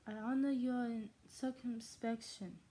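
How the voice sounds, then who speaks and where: A woman playing a dry, teasing older gentleman from a classic novel, in a clumsy put-on German and then Scottish accent, stumbling and restarting words. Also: fairly high pitch, fairly even intonation, a little slow, close to an everyday voice. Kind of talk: amateur read-aloud of a classic novel.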